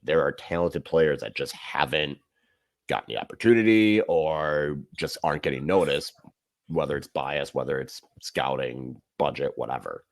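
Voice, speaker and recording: This is a man who is a guest on a casual sports podcast. His voice is extremely low, with a gravelly fry running through it. He talks in a thoughtful, measured way, often restating a point as he thinks it through. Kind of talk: casual soccer podcast chat.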